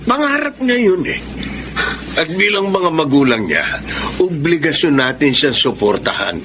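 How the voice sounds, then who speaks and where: A man's voice: on the high side, very animated, a little slow, background noise. An actor playing a concerned, supportive father in a Filipino radio drama, earnest and dramatic in manner. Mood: pleading.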